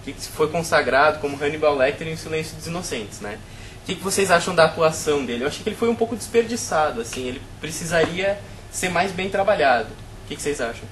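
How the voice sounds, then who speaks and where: Fast-talking Brazilian man hosting. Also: fairly high pitch, animated, a bit bright, a little hiss or hum. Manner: asks panel questions, quick and casual. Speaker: host. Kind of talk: film review discussion.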